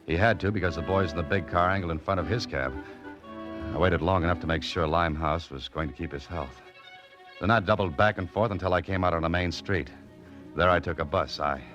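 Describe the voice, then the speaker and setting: A man's voice: deep voice, close to an everyday voice. An actor with an American accent playing a cool, wry, tough adventurer who narrates his exploits in terse slang in a radio mystery. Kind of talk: 1940s radio mystery acting, hardboiled narration. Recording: old radio recording, music behind, muffled.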